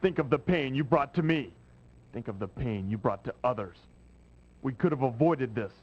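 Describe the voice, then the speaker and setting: Male actor as solemn warrior for game drama, medium-pitched, unwavering and deliberate, noisy old recording. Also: expressive, muffled recording, close to an everyday voice. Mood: reproachful. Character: grave, resolute rebel warrior facing his brother. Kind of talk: dramatic video game cutscene acting. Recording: noisy 1990s game audio.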